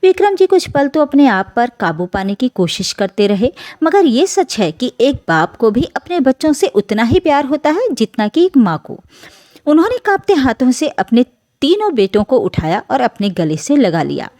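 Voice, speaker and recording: Mellow, lively higher-voiced woman storyteller. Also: moderate pace, dry recording. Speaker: storyteller, narrator. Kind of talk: scripted dramatic narration of an emotional family story.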